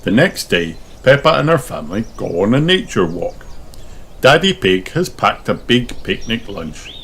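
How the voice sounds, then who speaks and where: A storyteller reading a children's picture book aloud in an expressive, exaggerated way. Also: mid-range voice, unhurried. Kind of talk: animated scripted narration of a picture book. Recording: clearly noisy recording with clicks.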